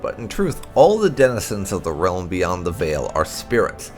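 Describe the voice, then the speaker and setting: A man narrating a video game playthrough, reading lore aloud in an expressive, measured storytelling way with emphatic phrasing. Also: low voice, faint music behind. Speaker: gaming channel narrator.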